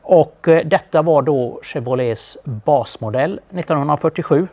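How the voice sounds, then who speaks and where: A middle-aged Swedish man presenting a car in a relaxed, knowledgeable studio chat, with frequent fillers. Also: on the high side. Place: small studio with a brick wall.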